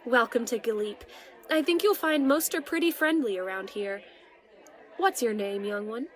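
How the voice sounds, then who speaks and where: A woman's voice: on the high side, lively intonation, moderate pace, a faint crowd, natural voice. A woman playing an older tavern keeper, motherly, teasing and chatty, in a fantasy audio drama. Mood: kindly.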